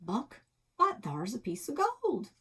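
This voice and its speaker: Woman playing a feisty, husky Old West gunslinger gal. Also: on the high side, huge pitch swings, slow, pushed and bright, pitched above the performer's own voice, full character voice. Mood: plaintive. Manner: folksy cowboy talk, dramatic. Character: feisty young female Old West gunslinger gold panner.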